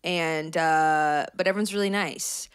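Giggly young woman, rather low voice. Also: steady tone, unhurried, clear, resonant tone, no room sound, studio quality. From Canada. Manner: giggly, rambling, self-deprecating. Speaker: podcast co-host. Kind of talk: casual chat. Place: small apartment room, indoors. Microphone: handheld dynamic mic, close.

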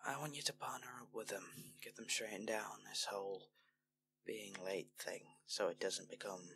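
A man playing a weary, firm but kindly army general with measured authority in a scripted roleplay audio drama. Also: on the low side, creaky, bright, forceful tone. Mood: brisk, authoritative. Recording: noisy home mic with hum.

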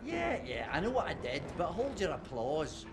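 A man's voice: on the high side, wildly swooping pitch, moderate pace, noisy background. An actor playing a cocky, grumbling British male antiquities expert in a game, sardonic and colloquial. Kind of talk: game cutscene acting.

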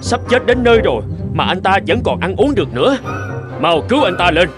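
A man acting earnest men in an animated moral fable, with a theatrical, declamatory delivery. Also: sits high, brisk. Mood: alarmed, urgent. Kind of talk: animated folk tale dub. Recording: music behind the voice.

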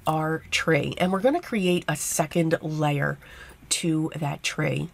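A woman with a tired, hoarse voice narrating a card-making tutorial step by step, chatty and casual. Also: sits low, steady tone, slow. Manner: chatty step-by-step explaining with fillers. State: losing her voice, hoarse. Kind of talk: voice-over craft tutorial.

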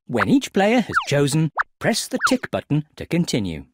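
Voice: medium pitch, wildly swooping pitch, moderate pace, noisy background. A narrator of a children's game, giving scripted instructions expressively for young children. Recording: music behind the voice.

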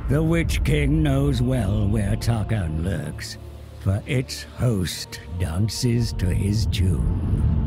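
Slow, gravelly, ominous fantasy narrator. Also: male voice, mid-range voice. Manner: portentous, slow, theatrical. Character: ominous male narrator of dark fantasy tales. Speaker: cutscene narrator. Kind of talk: dramatic scripted fantasy narration. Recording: music behind the voice. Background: background music.

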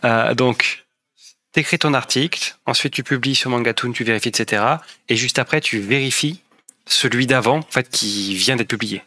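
A young male host asks careful, polite questions and sums up answers to be sure he has understood. His voice is bright and forceful, at a middle pitch for a man, heard through a headset mic from a room at home. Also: normal speed. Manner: polite, apologetic, clarifying. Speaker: interviewer, host. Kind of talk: interview questions, rephrasing to check understanding.

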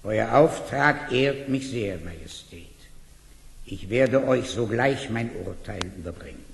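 Slow-spoken royal minister. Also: male voice, medium pitch, lively intonation, gravelly, husky, full character voice. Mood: deferential. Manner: formal, deliberate. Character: dutiful, self-important male royal minister.

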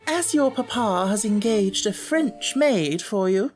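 A man's voice: high-pitched, lively intonation, takes it slowly, voice pushed up. A man voicing a severe, imposing headmistress for an audiobook, stiff, formal and tartly polite. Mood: sour, disapproving. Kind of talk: audiobook character voice.

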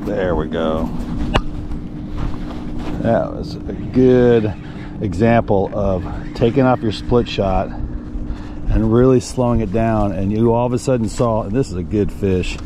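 A man's voice: on the low side, takes it slowly, long pauses, noisy background. Folksy man chatting while fishing outdoors. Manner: folksy, addresses viewers as folks. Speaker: fishing guide and vlog host. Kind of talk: casual fishing commentary to viewers while fishing. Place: outdoors on a small fishing boat on an open, windy lake. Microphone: action camera worn on the body.

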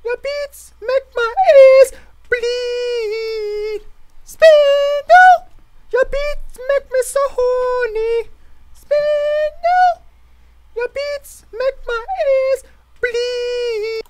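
A man voicing an absurdly overdramatic mock diva singer in a shrill falsetto, as a tutorial joke. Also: extremely slow, darkish tone, exaggerated voice. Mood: mock passion.

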